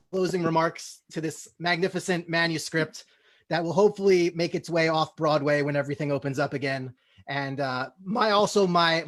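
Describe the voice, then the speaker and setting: A man with a notably high-pitched voice hosts a comedy table read. He narrates and comments in a mock-formal style, like a small-scale master of ceremonies.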